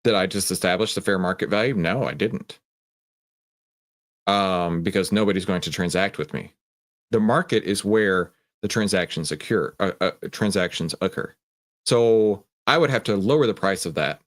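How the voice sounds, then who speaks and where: A man's voice: deep voice, normal speed. A man hosting a live stream in a casual, conversational way, explaining emphatically, posing questions and often checking in with a tag question. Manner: conversational, often tags statements with "right?"; emphatic, explanatory, poses questions and answers them. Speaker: host of a live stock trading stream. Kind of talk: casual live market commentary, chatting with viewers.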